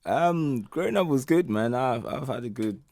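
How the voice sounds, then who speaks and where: A man around forty, originally from Austria, who is a guest on a radio show. He answers in a relaxed, hesitant way with many pauses and fillers. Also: medium pitch, gravelly, no room sound. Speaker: guest, music entertainer. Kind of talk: casual radio interview. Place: radio studio.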